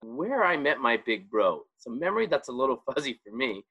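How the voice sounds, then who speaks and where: A man's voice: medium pitch, big pitch swings, fairly quick, slightly rough, highs cut off. A man in his forties hosting an interview in a teasing, conversational way. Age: 40s. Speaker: interviewer host. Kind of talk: casual interview.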